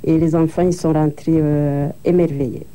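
A woman's voice: low-pitched, level tone, normal speed, a crowd in the background. A woman guest in an interview, plain-spoken and recounting events step by step. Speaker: interview guest, association co-founder. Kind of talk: broadcast interview.

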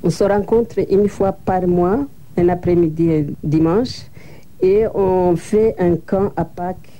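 A woman's voice: lowish voice, takes it slowly, dark tone, highs cut off. A woman guest in an interview, plain-spoken and recounting events step by step. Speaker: interview guest, association co-founder. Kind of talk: broadcast interview.

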